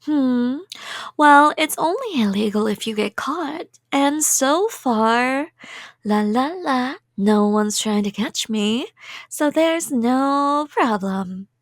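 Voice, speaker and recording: A woman's voice: sits high, expressive, slow pace, no room sound, clean studio sound, full character voice. A woman playing a cheerful, delusional, obsessive kidnapper in a rambling ASMR roleplay, sweet and playful and drifting into whispers. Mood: cheerful, unrepentant.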